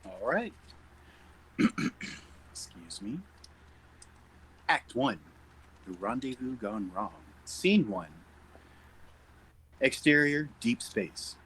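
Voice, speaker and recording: A man's voice: medium pitch, sing-song, very slow, long pauses, a bit bright, a little hiss or hum, rough recording. A man narrating script directions in an informal read-through, stumbling on words and correcting himself. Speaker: narrator reading stage directions.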